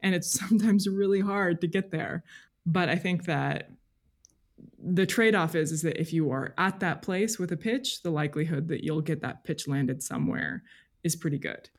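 A woman's voice: fairly low pitch, normal speed. Female podcast guest, informal and reflective, works out thoughts as she speaks. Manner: informal, thinks aloud, hedging with "like" and "you know". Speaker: podcast guest, freelance journalist. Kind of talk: reflective interview conversation.

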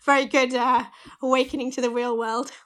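Woman in her forties, guest; slightly croaky, fairly high voice, candid and self-deprecating, over a video call. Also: level tone, fairly quick, a bit bright. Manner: candid, self-deprecating, many "you know" fillers. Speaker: bank chief executive, interview guest. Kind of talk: conversational interview answers.

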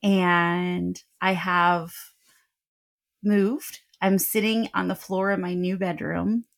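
A middle-aged woman co-hosting a casual podcast from the floor of her bedroom, telling stories in an animated, joking way. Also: lowish voice, slow, vocal fry. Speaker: podcast co-host. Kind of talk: casual chat. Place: bedroom in a new home, sitting on the floor.

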